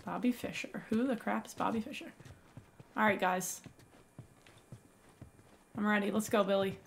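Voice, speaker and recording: A young woman with a raspy voice, excitedly commenting while playing a video game. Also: mid-range voice, normal speed, slight background noise. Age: young adult. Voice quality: raspy from yelling. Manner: excitable, exclaiming at finds. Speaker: gaming YouTuber. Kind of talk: let's play commentary.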